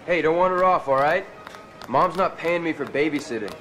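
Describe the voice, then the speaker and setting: An actor playing a bored, offhand teenage older brother in an animated game cutscene. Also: male voice, a little high, moderate pace, slight background noise, low-quality recording. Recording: music behind the voice.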